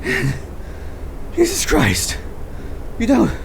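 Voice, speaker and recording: An actress playing a detective who panics, swears and stammers, her voice gravelly and shaken. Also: fairly high pitch, wildly swooping pitch, very slow, a little hiss or hum, full character voice. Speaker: detective Carrigan.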